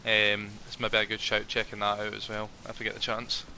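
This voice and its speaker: A rambling, friendly man with a lowish voice and a very bright, forward tone. He fills his talk with ums and restarts as he chats in character. A faint hum of ambience sits behind him. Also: completely flat delivery, a little fast. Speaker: roleplay player as a ranger. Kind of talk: in-character roleplay chat.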